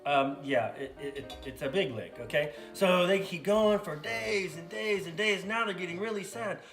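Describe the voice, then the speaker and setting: A man speaking casually and a little haltingly as a sidekick on a children's puppet show, making up stories. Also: sits high, fairly bright tone. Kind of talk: casual improvised storytelling for kids. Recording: roomy echo, faint music behind.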